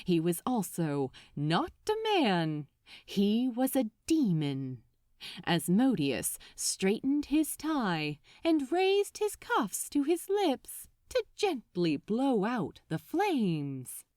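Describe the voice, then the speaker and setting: Woman, dramatic fiction narration, theatrical, deliberate pacing, wide swooping intonation, very dry close sound. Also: fairly high pitch, huge pitch swings, darkish tone, dry recording. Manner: theatrical, deliberate, suspenseful phrasing. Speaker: audiobook narrator.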